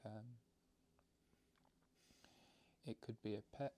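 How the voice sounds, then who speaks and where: A man leading a guided meditation, explaining it gently in halting, unscripted phrases. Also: on the low side, fairly even intonation. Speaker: meditation teacher.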